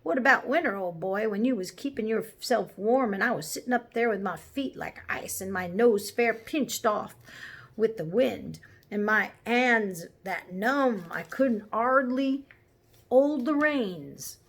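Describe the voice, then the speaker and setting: A woman's voice: on the high side, animated, measured pace. A woman voicing a good-natured, earnest London cabby with a Cockney accent in a storybook reading.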